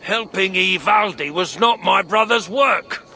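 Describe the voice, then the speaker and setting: A man's voice: sits high, unhurried, vocal fry, pushed and bright, slight background noise, full character voice. An actor playing a grumbling, grieving dwarf smith in a video game, blunt and salty in his talk. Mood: grieving, bitter. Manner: blunt, colloquial, salty-tongued. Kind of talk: video game dramatic acting.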